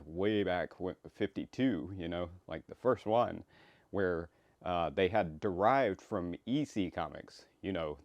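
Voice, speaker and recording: A man introducing his own work in casual, rambling commentary, with a halting delivery. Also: sits low, dry recording. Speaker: creator introducing his comic.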